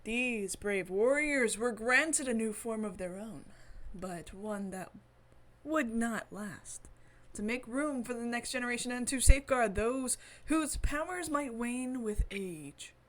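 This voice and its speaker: A woman's voice: a little high, lively intonation, slow pace. A woman hosting a game stream, reading story text aloud dramatically with giggly, enthusiastic asides. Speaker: gaming channel host streaming a visual novel.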